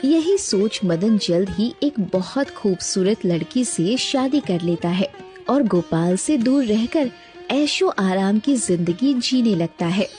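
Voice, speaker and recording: Female storyteller for kids' bedtime tales, unhurried, mellow delivery, scripted narration. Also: mid-range voice, animated, faint music behind.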